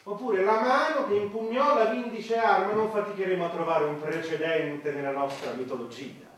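A man's voice: high-pitched, moderate pace, slight background noise, natural voice. A man playing a fervent, cynical government minister in a satirical radio play, declaiming with rhetorical questions. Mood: coolly argumentative. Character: fervent, cynical male government minister making grand proposals. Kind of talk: satirical radio theatre acting. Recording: very echoey, distant microphone.

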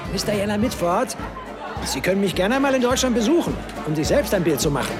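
A man playing a self-assured Nazi press chief in a radio drama, speaking in a forceful, declamatory way. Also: sits high, sing-song, brisk, music in the background, a crowd in the background. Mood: emphatic, self-assured.

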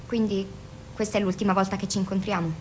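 A woman's voice: mid-range voice, little pitch movement, fast, darkish tone, slight background noise, natural voice, low-quality recording. A woman acting in an Italian radio drama as a bitter, volatile young female patient, defiant and sarcastic, who swears and confronts. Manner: sarcastic, confrontational, foul-mouthed.

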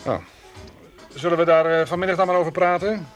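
A man's voice: on the high side, fairly even intonation, brisk, faint music behind, close to an everyday voice. An actor playing a coarse, flustered man in debt in a radio play, stammering his way through talk.

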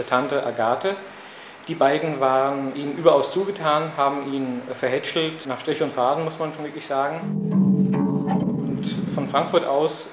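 A man of middle pitch talks as an author in an interview, thoughtful and halting, with many fillers. His voice sounds roomy, with a faint hiss behind it.

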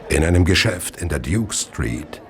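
An actor playing a coldly contemptuous gentleman serial killer in a radio play, speaking in a deliberate, theatrical and sinister way. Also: deep voice, expressive, slight background noise. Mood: cold, disdainful. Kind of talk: radio play narration and dialogue.

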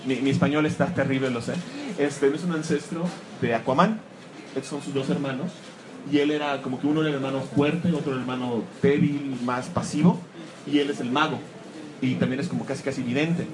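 A man's voice: mid-range voice, fairly quick. A man giving a live, joking talk about comics to an audience in a room. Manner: joking, self-mocking, animated asides. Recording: clear background noise.

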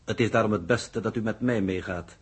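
A man's voice: fairly low pitch, a little fast, lightly acted. A man playing a stiff, pompous, coolly correct subordinate with stilted, precise diction, in 1960s radio drama style. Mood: coolly formal.

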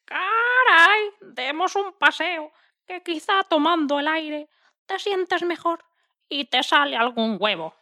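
A woman's voice: very high voice, expressive, slow, bright, forceful tone, exaggerated voice. A woman voicing a cheerful, reassuring hen friend, theatrical and encouraging, in a children's audio story.

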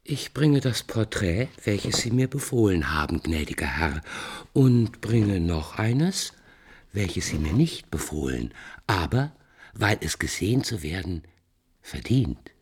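A man playing a courteous, proud court painter in 1950s German radio drama, speaking in a measured, deferential but pointed way. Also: low-pitched, big pitch swings, breathy edge, exaggerated voice.

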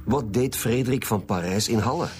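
A man's voice: medium pitch, fairly quick, background noise, close to an everyday voice. A man playing a blunt police superintendent with a Flemish accent, in naturalistic radio drama dialogue. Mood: probing, sceptical. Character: gruff, blunt male police superintendent leading a murder squad.

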